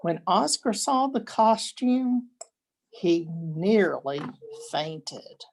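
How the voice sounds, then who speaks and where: An older woman, a grandmother, reading a children's picture book aloud expressively, with deliberate dramatic pauses. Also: mid-range voice, lively intonation, darkish tone, slight background noise.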